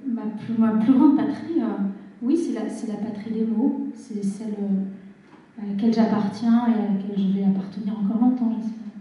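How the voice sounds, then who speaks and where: A middle-aged woman from Algeria, a novelist answering interview questions in a reflective, hesitant way with many pauses. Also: mid-range voice, dark tone, muffled recording. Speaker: novelist guest. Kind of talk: literary interview on stage. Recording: echoey hall from far off.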